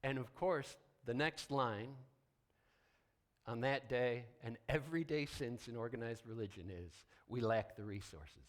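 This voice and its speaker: A male pastor delivers a sermon in a deliberate, emphatic way. He uses dramatic pauses and drops to a hushed tone for weight, with his voice at a medium pitch.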